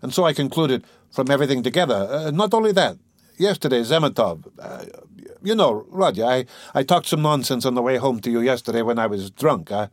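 A man voicing a flustered, excitable young man who stammers and blusters in a dramatised audiobook reading. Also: mid-range voice, brisk, dry recording, clean studio sound, voice pushed up, lightly acted. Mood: eager, flustered. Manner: stammering, exclamatory, indignant.

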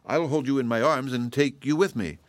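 A brave little girl from a storybook, voiced by a man reading aloud, pitched up from his natural register. The child is cheerful and sure of herself, explaining things plainly and reassuring the listener. The sound is dry and close. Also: a little fast, no room sound, close to an everyday voice. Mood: cheerfully reassuring.

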